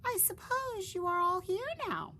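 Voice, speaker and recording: Storybook mother duck, high and protective, read by a woman. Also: big pitch swings, normal speed, bright, forceful tone, a little hiss or hum, heavily acted. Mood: fretful. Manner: high, fierce when defending her young.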